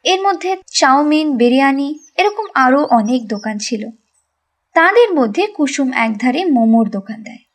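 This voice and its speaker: A woman narrating a cartoon story in a lively, sing-song way. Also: high voice, normal speed.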